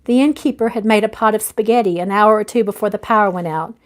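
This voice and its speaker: A woman's voice: medium pitch, fast, close to an everyday voice. A woman voicing a guarded, heartbroken businesswoman in a romance novel, confiding and rueful. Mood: sheepish, confiding. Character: weary, heartbroken businesswoman, guarded about lost love.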